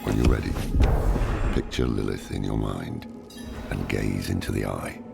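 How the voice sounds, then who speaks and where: An actor playing a grave, weary old scholar in a video game, speaking in a brooding, measured way with a gravelly voice. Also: male voice, full character voice. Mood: brooding, questioning. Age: older. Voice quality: deep gravelly voice. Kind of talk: dramatic video game acting. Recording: loud background music.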